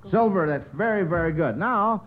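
A narrow, faintly noisy old radio recording of an American man with a slightly high voice. He hosts a quiz in a halting, ad-libbed way, stretching out his hesitations. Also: lively intonation. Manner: hesitant, halting, drawn-out hems and haws. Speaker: quiz show host.

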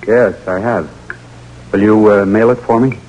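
An actor playing a daring, dogged American army lieutenant in a 1940s radio drama. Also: male voice, fairly low pitch, steady tone, a little slow, lo-fi sound. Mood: calm. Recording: old radio broadcast with music.

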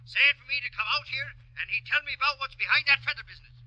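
Blustering high-pitched newspaper reporter. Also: male voice, quick pace, pushed and bright, full character voice. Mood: excited, urgent. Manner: blustery, colloquial, folksy exclamations. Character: excitable, blustering, brash male newspaper reporter. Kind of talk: 1940s radio drama acting. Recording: dull old radio recording.

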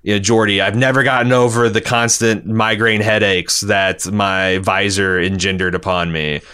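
Podcast host, male, lowish forceful voice, animated and rambling fan talk. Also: moderate pace, clear, resonant tone. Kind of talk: casual fan commentary on TV, reading listener feedback.

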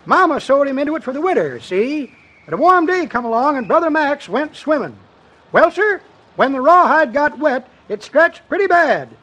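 A man playing a folksy old-timer with a rural American accent, spinning tall tales in deadpan 1950s radio comedy style. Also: extremely high pitch, normal speed, full character voice. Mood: deadpan yarn-spinning. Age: older. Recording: dull old radio recording.

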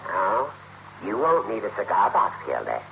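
An actor playing an older American judge, formal and teasing, in a 1940s radio comedy. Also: male voice, fairly low pitch, huge pitch swings, moderate pace, creaky, exaggerated voice. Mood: dryly needling. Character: dry, needling old male judge. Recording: muffled, noisy old radio recording.

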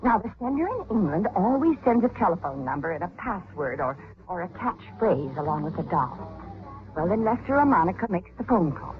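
An actress playing an anxious wronged wife, urgent and insistent, in an old-time radio drama. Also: female voice, lowish voice, very animated, fairly quick, faint music behind. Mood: agitated, insistent. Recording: muffled, hissy old radio recording.

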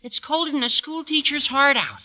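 An actor playing a gawky, eager teenage schoolboy in an earnest, wheedling 1940s radio comedy style. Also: male voice, extremely high pitch, big pitch swings, normal speed. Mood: eager, scheming. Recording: faintly noisy, clicking 1940s radio recording.

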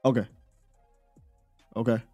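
A man's voice: slow pace, warm tone, faint music behind. A man hosting a sports call-in show, a bit nasal from a cold, talking in a slangy, confident way. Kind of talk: casual sports talk with callers.

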